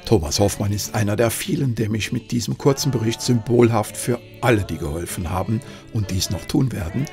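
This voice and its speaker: A man reading scripted documentary narration in a formal, earnest, measured manner. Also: on the low side, darkish tone. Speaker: narrator and filmmaker. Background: background music.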